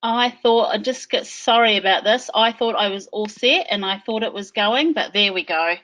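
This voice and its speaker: A woman, an educator presenting her school on a webinar in a friendly, brisk way. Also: mid-range voice, a bit bright, poor audio.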